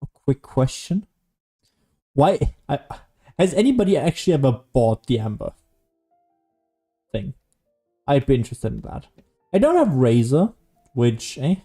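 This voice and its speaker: A male gaming streamer talks casually over his gameplay. His voice sits at a middling pitch with a soft, mellow edge. He rambles and thinks aloud, with faint music playing behind him. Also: big pitch swings, a little slow, long pauses. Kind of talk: casual solo gameplay commentary.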